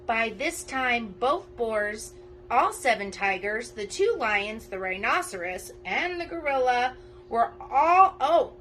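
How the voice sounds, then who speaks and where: Woman, children's story reader, very high pitch, bright tone, expressive, emphatic, faint background noise. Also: takes it slowly, poor audio. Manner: animated, emphatic. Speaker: parent volunteer reading aloud to schoolchildren.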